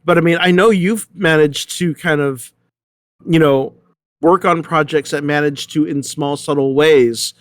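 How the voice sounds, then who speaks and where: A man with a fairly high voice hosts a thoughtful discussion. He feels his way through ideas with repeated words and halting starts. The sound is dry, with no room on it. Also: steady tone, no echo. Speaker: host moderating a panel discussion.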